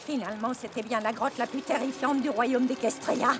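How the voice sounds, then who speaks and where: A woman's voice: on the high side, steady tone, brisk, natural voice. A woman voicing a stubborn, boastful elderly grandmother pony in a French cartoon dub, folksy and bossy. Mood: stubborn, breezy. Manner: folksy, bossy, self-assured.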